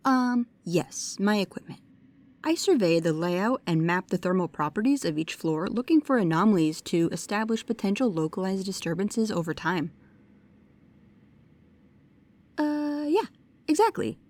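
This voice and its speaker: A woman's voice: mid-range voice, no room sound, lightly acted. A woman playing a bookish, precise and nervous young paranormal investigator, speaking to the listener in an audio roleplay. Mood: flustered, earnest. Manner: pedantic, hesitant, earnest.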